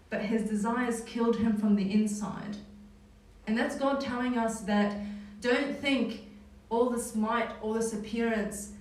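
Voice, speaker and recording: A woman's voice: a little high, a little mellow, slight background noise. A woman with a New Zealand accent leading a casual Bible study, talking in a conversational way with frequent "right?" tags. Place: church hall. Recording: echoey hall, mic far off.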